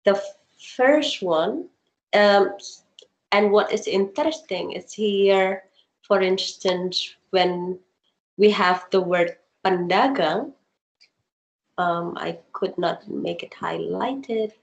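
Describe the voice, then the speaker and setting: A woman's voice: mid-range voice, slow pace, vocal fry, roomy sound, dull audio. A woman whose first language is Indonesian, a researcher answering a question about her dissertation on a video call and explaining with examples. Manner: thoughtful, explains with examples, many ums.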